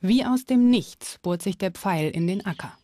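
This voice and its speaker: A woman's voice: medium pitch, lively intonation, moderate pace, dark tone, a little hiss or hum. A woman reading scripted documentary narration.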